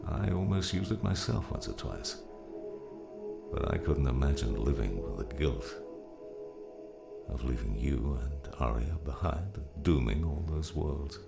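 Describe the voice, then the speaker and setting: An actor playing a gruff, fatherly old mentor, weary and wise, in a slow audiobook drama performance with a gravelly voice. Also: rumbling low, expressive, heavily acted. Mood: solemn. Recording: music behind the voice.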